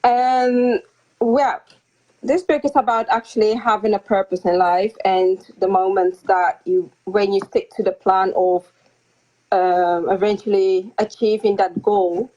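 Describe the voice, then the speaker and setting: A woman's voice: mid-range voice, fairly even intonation, a little slow. Hesitant young woman on a dull call. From Netherlands. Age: young adult. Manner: soft-spoken, hesitant with frequent ums and light laughs. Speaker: guest author. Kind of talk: casual interview over a video call. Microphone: video call audio. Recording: slightly dull lo-fi call audio.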